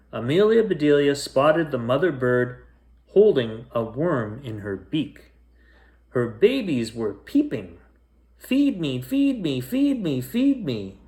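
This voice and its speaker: A man narrating a children's storybook as a bedtime reader, telling it phrase by phrase. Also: medium pitch.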